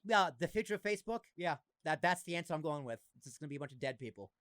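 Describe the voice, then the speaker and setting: A man's voice: fairly high pitch, no room sound, clean studio sound. A man hosting a podcast, chatting casually and animatedly. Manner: animated, quick talker. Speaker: podcast host. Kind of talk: casual chat.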